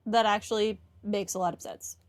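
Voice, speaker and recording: A woman's voice: medium pitch, steady tone, moderate pace. A woman hosting a game playthrough with casual commentary on the story. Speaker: gaming playthrough host.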